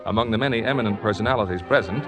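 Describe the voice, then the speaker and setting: A man presenting a 1950s radio theater show in a formal, polished, measured, scripted manner. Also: fairly low pitch. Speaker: radio theater host and announcer. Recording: old radio broadcast with faint music.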